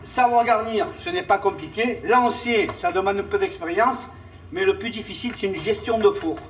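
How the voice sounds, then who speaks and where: A man's voice: sits high, moderate pace. A man plainly explaining things in a casual interview. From Marseille, France.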